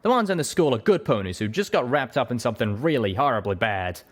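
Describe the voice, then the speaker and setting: A man's voice: mid-range voice, lively intonation, fast talker, pitched above the performer's own voice, close to an everyday voice. A man voicing a loyal, plain-spoken male pegasus cowboy in a storybook, with a folksy, drawling manner. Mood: reassuring.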